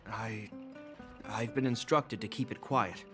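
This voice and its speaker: An actor playing a dutiful, earnest younger brother and military officer in a video game, measured, formal and polite. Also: male voice, fairly low pitch, fairly even intonation, a bit bright. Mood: reluctant, serious. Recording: music clearly behind the voice.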